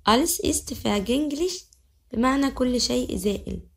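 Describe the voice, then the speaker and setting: A young woman with an Egyptian Arabic accent teaching vocabulary. She says each word slowly several times, then explains it quickly in Arabic. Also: high voice, lively intonation, vocal fry. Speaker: language teacher. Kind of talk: vocabulary lesson.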